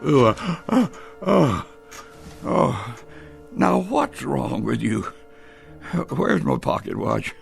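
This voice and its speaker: Creaky, halting old grandfather. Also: mid-range voice, big pitch swings, heavily acted. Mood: anxious. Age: older. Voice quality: gravelly, creaky. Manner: stammering, halting, muttering. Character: anxious, stubborn old grandfather devoted to his clock. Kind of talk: radio drama acting. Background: music.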